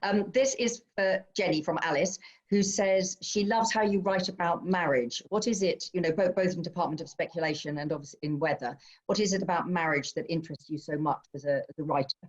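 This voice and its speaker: A woman's voice: on the low side, fairly quick, muffled recording. A middle-aged woman hosting a literary panel, chatty as she passes on questions from the audience. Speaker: host chairing an author question session. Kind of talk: relaxed literary panel moderating.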